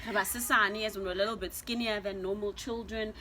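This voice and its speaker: A young woman co-hosting a family interview, reacting lively with quick affirmations. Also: mid-range voice, slight background noise. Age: young adult. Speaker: co-host.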